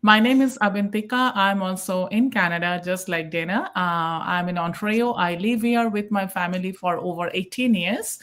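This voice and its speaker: A woman's voice: medium pitch, moderate pace, few pauses, fairly bright tone. A middle-aged woman with an Indian Bengali accent, presenting casually as a guest on a live online talk. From Kolkata, India. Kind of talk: live self-introduction talk.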